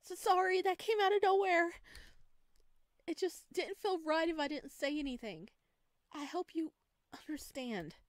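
Woman acting an earnest, emphatic heroine, pitched up. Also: a little slow, pauses often, no room sound, clean studio sound. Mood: emotional. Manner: emphatic, heartfelt. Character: earnest, caring visual novel heroine, bold when moved. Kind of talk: visual novel character reading.

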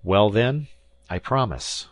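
A man's voice: fairly low pitch, animated, slow, no room sound. A man voicing an earnest, hot-tempered visitor in an audiobook, protesting emphatically but respectfully. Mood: eager, emphatic. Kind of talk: audiobook character acting.

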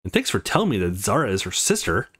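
A young college man acted by a male reader, blunt and guarded. He belongs to a visual novel game and speaks with lively swings in pitch. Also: quick pace, husky, full character voice. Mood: exasperated.

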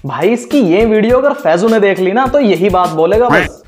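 A man's voice: high-pitched, expressive, moderate pace, background noise. A young man, delivering scripted, sarcastic roast commentary as a YouTube host. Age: young adult. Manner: mocking, sarcastic. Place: small room indoors. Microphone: lapel mic. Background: music.

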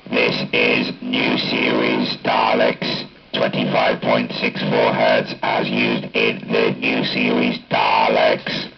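A man speaking through a Dalek-style ring-modulator robot effect, announcing test settings in a clipped, deliberate way. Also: extremely low pitch, completely flat delivery, creaky, faint music behind. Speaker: hobbyist demonstrating a voice changer. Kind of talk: product test demonstration. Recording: dull lo-fi capture from amplifier speaker.

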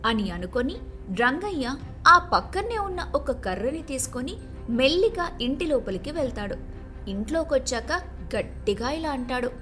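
A woman narrates a storybook tale in a high voice. Her intonation swings in a lively way, with light music playing behind her. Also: normal speed.

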